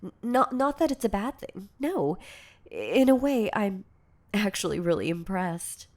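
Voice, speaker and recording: A woman playing a shy, insecure, lovestruck girlfriend in an intimate ASMR audio roleplay, speaking in a hesitant, stammering, soft-spoken way. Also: mid-range voice, sing-song, measured pace. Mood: flustered, amazed. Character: shy, insecure, lovestruck yandere girlfriend confessing obsessive devotion.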